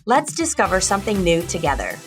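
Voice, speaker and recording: A woman's voice: mid-range voice, sing-song, fairly quick, soft background music. A woman podcast host who is a veterinarian, reading a scripted intro and then chatting eagerly with her guest. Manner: enthusiastic, effusive.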